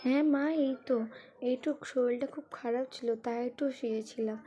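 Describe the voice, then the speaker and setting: A woman's voice: fairly high pitch, steady tone, a little fast, warm tone, close to an everyday voice. A woman voicing a meek, unwell young daughter-in-law in an amateur cartoon dub. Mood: meek, apologetic.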